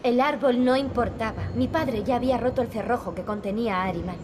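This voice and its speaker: An actress playing an earnest, determined young princess in a video game. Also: female voice, on the high side, fast talker, natural voice. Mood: remorseful. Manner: earnest, serious. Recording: loud game music behind. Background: music.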